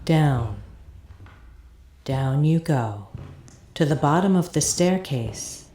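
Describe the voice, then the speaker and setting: A woman playing an eerie audio tour guide in an audio drama, giving deliberate instructions with hypnotic repetition. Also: low voice, animated, warm tone, slight background noise. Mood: hushed, foreboding. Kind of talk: scripted audio drama narration. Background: ambient field recording and foley sounds.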